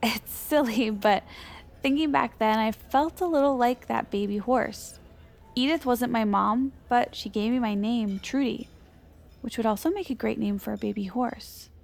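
An actress playing a dreamy, awkward young female assistant in an audio drama, speaking in a hesitant, halting way. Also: fairly high pitch, creaky, a little mellow, faint music behind. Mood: wistful, awkward. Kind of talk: confessional audio drama narration.

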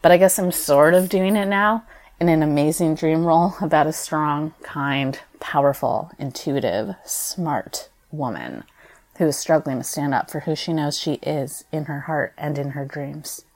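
Young American female performer, low register, expressive first-person storytelling, conversational read. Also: unhurried. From United States. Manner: expressive, conversational, acts out quoted dialogue. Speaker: stage performer. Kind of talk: reading a personal essay aloud, storytelling.

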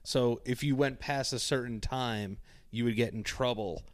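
A man's voice: mid-range voice, fairly even intonation, moderate pace, no echo. Young guy rambling on his podcast, swears a lot, does little voices for people, dry close mic. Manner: rambling, swears freely, acts out other people's lines. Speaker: podcast co-host. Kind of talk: casual chat.

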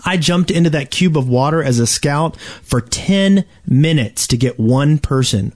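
A man hosting a casual gaming podcast, telling stories in an animated, conversational way and acting out exchanges. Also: on the high side, a little slow. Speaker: podcast host.

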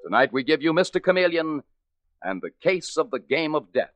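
Animated male radio announcer over music. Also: fairly high pitch, a little fast, highs cut off. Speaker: announcer and narrator. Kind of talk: 1940s radio scripted narration. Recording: old radio broadcast with music.

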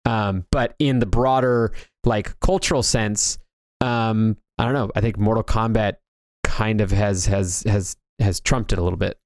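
A man's voice: on the low side, steady tone, a little slow, a little mellow, no echo. A man co-hosting a video game discussion, talking casually and conversationally, often doubling back on his words. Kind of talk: casual pop-culture discussion.

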